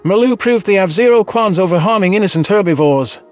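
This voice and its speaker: A man's voice: high-pitched, expressive, fairly quick, a little mellow. A man voicing a scowling, bitter male alien in a science fiction story. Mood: bitter anger. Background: faint music.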